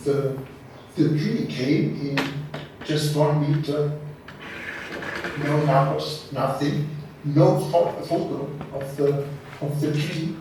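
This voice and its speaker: Halting Austrian man in an echoey hall. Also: fairly high pitch, steady tone, background noise. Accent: Austrian German. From West Austria. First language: German. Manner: halting, many repeated words and restarts. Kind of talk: casual recollection on a guided tour. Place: large exhibition space indoors. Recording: roomy hall, speaker far from mic.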